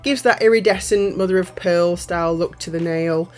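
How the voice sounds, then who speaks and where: A woman's voice: on the low side, moderate pace, darkish tone, soft background music. A woman, a little nasal and bunged up from a cold, chatting casually through a nail art tutorial voiceover. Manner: chatty, rambling, self-correcting. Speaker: nail art channel host.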